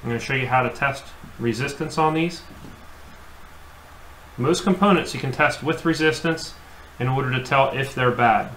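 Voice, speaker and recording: A man, an HVAC technician, demonstrates hands-on at a workbench, in a voice of middle pitch. He explains plainly and circles back with fillers. The recording has the echo of a bare room and a faint background hum. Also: moderate pace, a bit bright.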